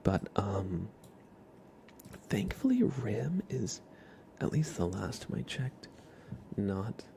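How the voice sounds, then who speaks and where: A man chatting softly as an ASMR livestream host, hushed and often slipping toward a whisper. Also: on the low side, very animated, takes it slowly, creaky, a little hiss or hum. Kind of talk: soft-spoken ASMR livestream chat.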